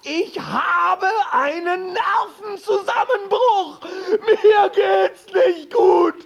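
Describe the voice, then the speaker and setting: Ein Schauspieler spielt in einem deutschen Hörspiel einen überreizten, ängstlichen, verträumten Familienvater, der fahrig und weitschweifig redet. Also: male voice, very high-pitched, drawn-out words, vocal fry, highs cut off, full character voice. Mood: panicked, hysterical. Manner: agitated, rambling, apologetic. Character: overwrought, anxious family father and businessman, dreamy, claustrophobic. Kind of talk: German radio drama acting.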